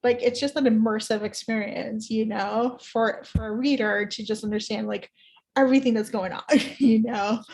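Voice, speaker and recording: A woman's voice: a little high, fairly even intonation, moderate pace, darkish tone. A woman hosting a casual interview show, speaking in an effusive, informal way. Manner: effusive, chuckles between phrases. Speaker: podcast host.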